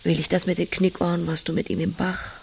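An actress playing a blunt, tender pig-farming countrywoman in an audio drama, often speaking in a hushed, intimate half-whisper. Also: fairly low pitch, level tone, quick pace, gravelly, a little hiss or hum. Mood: hushed, reflective. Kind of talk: naturalistic audio drama acting.

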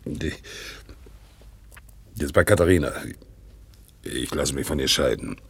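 A worn-out old man in a radio drama, humble and bitter. His voice is extremely low and full of gravel, and he speaks slowly, haltingly, as if musing to himself. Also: a bit bright, exaggerated voice. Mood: wistful, resigned. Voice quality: gravelly, husky. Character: broken, bearded old ex-convict, humble and bitter. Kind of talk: 1960s German radio play acting.

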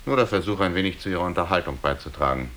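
An actor playing a brooding, philosophizing burglar and embittered war veteran, deliberate, ironic and intense, in a radio drama. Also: male voice, low-pitched, lively intonation, a bit bright, a little hiss or hum. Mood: brooding intensity. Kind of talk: German radio drama acting.

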